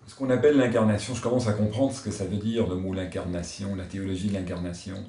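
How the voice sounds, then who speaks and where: Man, religious, reflective interview; mellow timbre, faint hiss behind, echoey room. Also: deep voice, steady tone, fast talker. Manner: earnest and searching, thinks aloud. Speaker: member of a religious order. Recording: echoey room, mic at a distance.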